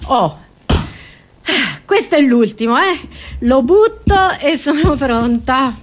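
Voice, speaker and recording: A woman comedian with a fairly high voice delivers a theatrical solo monologue. She addresses the audience directly in a scatterbrained, exclamatory way, halting and repeating herself as she goes. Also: lively intonation, noisy background.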